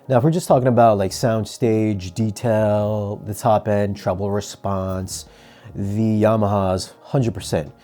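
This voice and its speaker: A man presenting a product review in a conversational, measured, explanatory way. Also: lowish voice, dark tone. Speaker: audio gear reviewer and presenter. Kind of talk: product review talk to camera.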